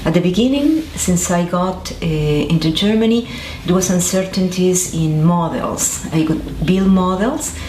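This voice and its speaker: A woman's voice: lowish voice, unhurried, hardly any pauses, dark tone. A woman from Argentina, a scientist, answering interview questions thoughtfully with frequent restarts and fillers. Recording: echoey room with faint noise.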